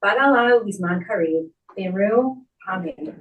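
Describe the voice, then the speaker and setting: Quick-paced woman officiant in an echoey room. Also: mid-range voice, lively intonation, a bit bright, dull audio. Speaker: funeral service officiant. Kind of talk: formal service officiating. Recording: roomy echo, distant microphone.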